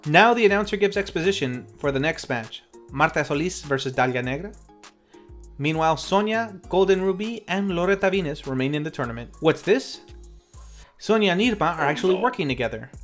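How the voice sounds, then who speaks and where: A man with a slightly high voice narrates a scripted film recap as a show host. He is tongue-in-cheek and pokes fun at himself as he goes. Music plays faintly underneath him. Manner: tongue-in-cheek, playfully self-mocking. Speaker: host and narrator of a retro lucha libre film review series.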